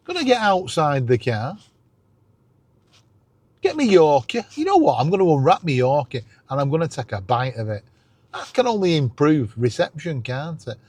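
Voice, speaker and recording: Bloke vlogging, lively up-and-down voice, close dry sound, keen and self-mocking. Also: male voice, a little high, no room sound. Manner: self-deprecating, enthusiastic. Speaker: YouTube host. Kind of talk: casual chatty vlog.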